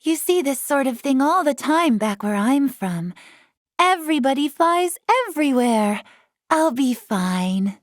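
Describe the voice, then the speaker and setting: An earnest young winged dreamer in a fantasy video game. Her voice is high and she takes her time, musing in an airy, matter-of-fact way. The sound is clean and dry. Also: expressive, measured pace, no echo. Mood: breezy musing. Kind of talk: scripted video game voice acting.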